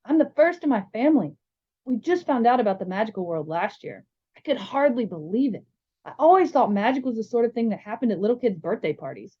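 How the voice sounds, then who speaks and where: A woman's voice: a little high, expressive, brisk, husky, pitched above the performer's own voice. Woman voicing a chatty, cheeky young American boy. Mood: breezy, excited. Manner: rambling, enthusiastic, breezy. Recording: slightly dull, lo-fi sound.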